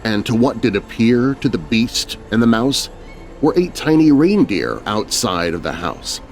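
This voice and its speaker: A man's voice: medium pitch, sing-song, a little slow. A man narrating a spooky rhyming children's story in a theatrical, dramatic way. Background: music.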